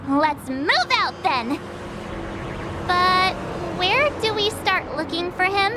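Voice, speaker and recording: A woman voicing a perky, casual, warm-hearted teenage girl adventurer in a video game, chatty and informal. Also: very high-pitched, animated, takes it slowly, noisy background, full character voice. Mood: upbeat. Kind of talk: scripted video game dialogue. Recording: music behind the voice.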